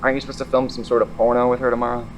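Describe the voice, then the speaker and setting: A man's voice: medium pitch, level tone, rapid-fire, a little hiss or hum, close to an everyday voice. A man playing a level-headed, teasing audio-tinkering friend, matter-of-fact, in an audio drama. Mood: sceptical, teasing. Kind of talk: naturalistic audio drama dialogue.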